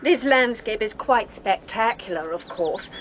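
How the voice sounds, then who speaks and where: An actress playing a prim, literal-minded and inquisitive English spinster in a radio drama. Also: female voice, a little high, animated, measured pace, a little hiss or hum. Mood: musing, suspicious.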